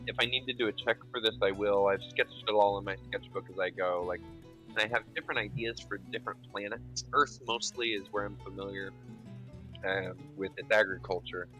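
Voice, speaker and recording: A man playing a tabletop role-playing game, casually and haltingly narrating what his character does as he improvises. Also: fairly low pitch, steady tone, sharp tone, soft background music, rough recording. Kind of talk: improvised roleplay, describing his character's actions.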